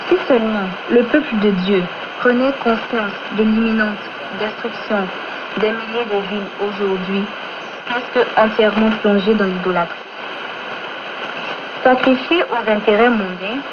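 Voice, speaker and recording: A woman presenting a scripted religious exhortation on the radio, solemn and deliberate in her phrasing. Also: medium pitch, fairly even intonation. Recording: noisy, muffled shortwave radio broadcast.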